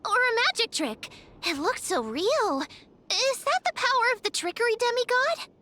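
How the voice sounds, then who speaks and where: Cute little fairy-like girl for anime game: extremely high, bright, inquisitive, music behind. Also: very animated, moderate pace, slight background noise, full character voice. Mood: curious. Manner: childlike, earnest, inquisitive. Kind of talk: anime-style game voice acting.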